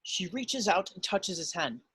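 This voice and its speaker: Man, high voice, scripted narration, screenplay directions, dry room-free sound. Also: very animated, unhurried, pushed and bright, husky, no echo. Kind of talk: reading screenplay stage directions aloud.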